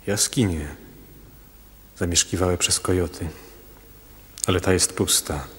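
An actor playing a stern, weary fisherman on the run in a radio drama, speaking in terse, hushed commands. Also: sits low, measured pace, breathy edge, exaggerated voice. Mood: cautious. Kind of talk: Polish radio drama acting. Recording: radio drama with music behind.

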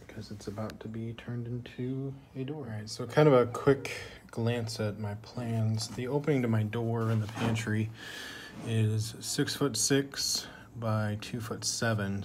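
Man, young, low-pitched, easygoing workshop vlogger, loose rambling delivery, phone audio with clear background noise. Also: darkish tone. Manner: easygoing, rambling, lots of fillers. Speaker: woodworker filming his project. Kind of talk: casual workshop vlog. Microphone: handheld phone. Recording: noisy phone recording in a workshop.

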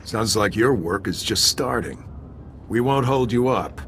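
An actor playing an earnest Republic soldier in a video game, talking briskly and sincerely. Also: male voice, on the low side, animated, a bit bright, slight background noise. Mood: brisk, grateful. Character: gritty, grateful Republic soldier leading troops. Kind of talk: video game cutscene acting.

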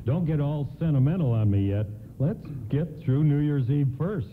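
A man's voice: on the high side, animated, moderate pace, natural voice. A man playing an easygoing, folksy department-store doorman of the 1950s in a radio play. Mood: reflective. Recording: muffled, lo-fi AM radio broadcast, hissy.

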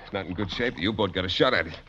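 Wry, tired male sailor, plain-spoken, vintage radio drama, muffled with clear background noise. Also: deep voice, rapid-fire, pushed and bright. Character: weary, wry shipwrecked seaman. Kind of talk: 1940s radio acting. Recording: muffled old radio recording, noisy background.

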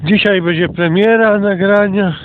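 A man with a high voice hosts a casual walking narration outdoors. He speaks slowly and haltingly, pausing to think between short remarks. The sound is thin and narrow, like a phone recording. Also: steady tone. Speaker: channel host. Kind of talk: casual narrated walk for an online channel. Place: outdoors, walking through countryside.

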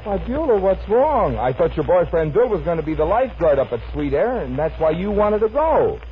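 A muffled 1950 radio recording with faint hiss. A male announcer reads scripted narration and banter in a folksy, genial way, with very animated pitch. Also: a little fast.

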